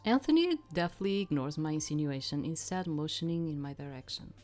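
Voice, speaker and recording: A woman's voice: low-pitched, expressive, a little fast, a little mellow, soft background music. A woman streaming a game, reading its text aloud and adding casual, rambling commentary as she searches for words.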